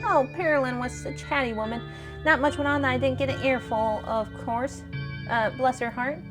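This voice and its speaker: A woman's voice: high-pitched, fairly even intonation, moderate pace, music in the background, close to an everyday voice. A woman voicing a kindly, gossipy older spiritualist lady in a video game, with a genteel, folksy manner full of endearments. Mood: warm, welcoming.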